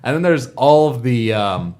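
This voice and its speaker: A man's voice: medium pitch, lively intonation, moderate pace, darkish tone, smooth. A man talking casually and enthusiastically as a podcast panelist, explaining fan favorites in detail. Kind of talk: casual fan chat about anime parodies.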